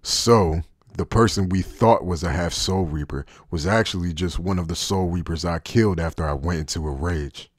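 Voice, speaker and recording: A man acting in an anime fan dub as a guarded, cynical former soldier turned traitor, with a low, husky voice and a wry, deliberate delivery. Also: dry recording, studio quality. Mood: grim, matter-of-fact.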